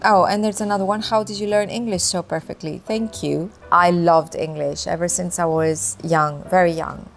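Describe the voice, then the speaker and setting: A woman in her fifties, a native Greek speaker, answering viewer questions in a gentle, chatty, reflective way. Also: on the low side, steady tone, normal speed, gravelly, hardly any pauses, faint music behind. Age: 50s. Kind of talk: casual Q&A chat.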